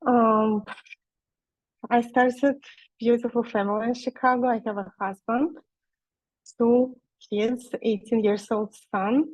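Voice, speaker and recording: A woman in her forties with a Russian accent presents on a video call, talking slowly and carefully and filling pauses with frequent "uh"s. The sound is dry and close, with no room echo. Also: on the high side, fairly even intonation. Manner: hesitant, frequent "uh" fillers, slow and careful. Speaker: guest speaker, online seller. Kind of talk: webinar presentation.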